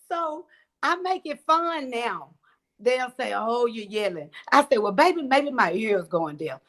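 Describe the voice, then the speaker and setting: A woman and mother, speaking as a guest on a church panel, naturally loud, with eager call-and-response affirmations. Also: a little high, animated, takes it slowly, pauses often.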